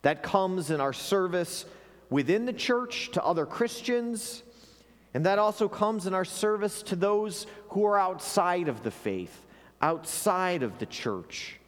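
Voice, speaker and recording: A man's voice: sits high, lively intonation, unhurried. A man pastor preaching an earnest sermon to his congregation, sometimes repeating words as he restarts a phrase. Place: church sanctuary. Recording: long hall reverb.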